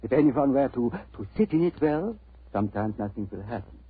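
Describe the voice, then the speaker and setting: An actor playing an old, courteous, excitable antique dealer with a German accent, in 1940s radio style. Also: male voice, medium pitch, brisk, breathy edge, no echo. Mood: earnest, explaining. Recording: tinny, band-limited old radio recording.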